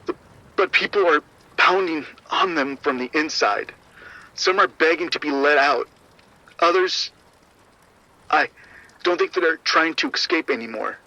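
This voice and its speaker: An actor playing a shaken security officer giving urgent, grim reports over radio in a horror audio drama. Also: male voice, a little high, pushed and bright, dry recording. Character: shaken male arena security officer reporting a violent outbreak. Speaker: security supervisor. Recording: muffled, band-limited radio transmission.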